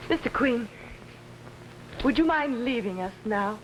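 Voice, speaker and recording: Young female pianist, 1950s TV melodrama: high voice, emotional, clinging, theatrical. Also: expressive, a little slow, low-quality recording. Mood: solicitous, clinging. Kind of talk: 1950s television melodrama acting.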